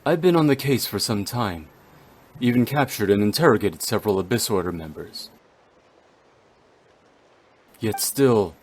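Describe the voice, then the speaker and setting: A man's voice: on the low side, a little mellow, slightly rough. A man voicing a stoic, cold and brooding warrior in a video game, with solemn, formal, terse delivery. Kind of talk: dramatic video game voice acting.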